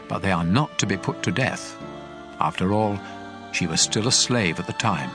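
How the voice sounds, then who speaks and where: A male actor voices God as a solemn lawgiver in a dramatized scripture reading. The delivery is declarative and commanding, with a medium pitch that rises and falls freely. Music plays behind the words. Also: fairly bright tone.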